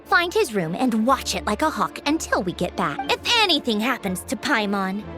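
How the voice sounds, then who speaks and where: A sardonic woman engineer in command for a video game. Her delivery is animated and swings widely in pitch. She is blunt and easily exasperated, used to giving orders. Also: moderate pace, music in the background. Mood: stern, commanding. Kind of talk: video game character dialogue.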